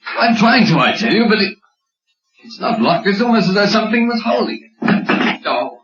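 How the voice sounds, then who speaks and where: An actor playing an anxious, well-bred British husband who is easily alarmed and exasperated, in old radio drama style. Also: male voice, sits high, sing-song, moderate pace, fairly bright tone, faint music behind. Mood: nervous, tense. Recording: dull, lightly noisy old radio recording.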